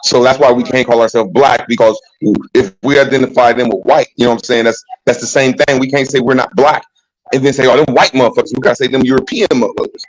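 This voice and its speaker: A man's voice: mid-range voice, steady tone, fast, low-quality recording. A man in a casual online group discussion who rambles and fills his talk with "like", speaking over a call mic that keeps breaking up. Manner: rambling, filler-heavy.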